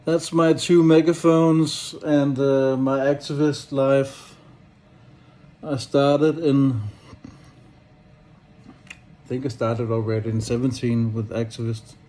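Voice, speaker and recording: A man with a Danish accent giving a rambling, casual monologue, hesitant and halting, with blunt swearing. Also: mid-range voice, little pitch movement, warm tone. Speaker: solo activist and vlogger.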